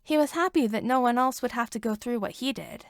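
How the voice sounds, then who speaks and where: A woman reading fan fiction aloud as an expressive storyteller with wry asides. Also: a little high, brisk, no echo, studio quality. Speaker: podfic reader.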